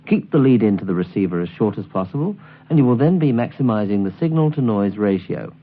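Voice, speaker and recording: A low-voiced man delivers scripted instruction with widely varied intonation. He enunciates each point in a measured, precise way. The sound is thin and narrow, like an old late-1970s album recording. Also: expressive. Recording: tinny, band-limited 1979 album recording.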